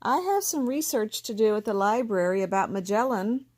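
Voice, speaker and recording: A woman's voice: mid-range voice, animated, moderate pace, darkish tone, lightly acted. A woman reading as a distracted, busy dad. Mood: mild. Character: distracted, busy father of a boy.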